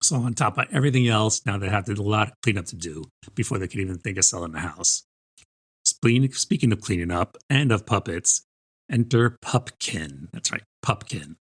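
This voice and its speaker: A man's voice: fairly low pitch, expressive, normal speed, husky. A man co-hosting a book podcast, talking through a review in a conversational way.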